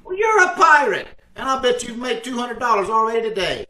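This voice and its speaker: A man's voice: very high-pitched, sing-song, brisk, pushed and bright, full character voice. A man playing a blustery, indignant, scheming husband in old-time radio comedy, exclaiming and stumbling over his words. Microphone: video call. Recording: roomy, distant home video-call sound.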